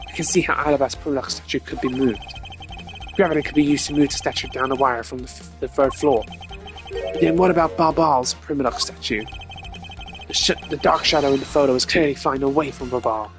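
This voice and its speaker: A man's voice: mid-range voice, fairly quick, natural voice. A man voicing a cocky, combative detective in a video game, blunt and taunting. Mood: challenging. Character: cocky, combative male detective challenging the prosecutor. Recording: game music behind the voice.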